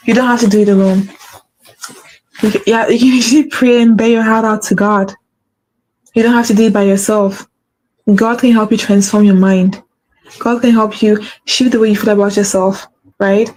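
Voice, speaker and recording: A woman's voice: a little high, a little mellow, low-quality recording. A woman with a stutter hosting a faith-based motivational talk, repeating her key phrases for emphasis. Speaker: podcast host.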